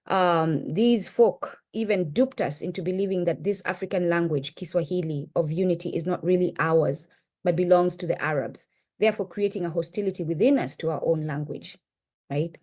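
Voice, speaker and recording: A woman's voice: normal speed, a little mellow. A middle-aged Kenyan woman with a Kenyan accent, co-hosting and giving an impassioned lecture-style talk, with emphatic rises and repeated checks of "right?". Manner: emphatic, rising pitch on key points, frequent "right?". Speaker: podcast co-host presenting.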